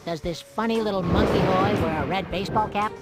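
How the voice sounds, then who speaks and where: A man's voice: sits high, fairly quick, natural voice. A man voicing a cocky, glib, wisecracking young creature in a cartoon game. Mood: wry. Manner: glib salesman patter. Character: fast-talking wisecracking young male cartoon creature, cocky big brother. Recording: loud music behind the voice.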